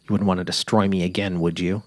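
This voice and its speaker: A man's voice: sits low, little pitch movement, fast talker, darkish tone, no room sound, clean studio sound, lightly acted. A man voicing an affectionate middle-aged wife on safari, eager and tender, in a dramatic literary reading. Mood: pleading. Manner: cheerful, eager, tender, imploring.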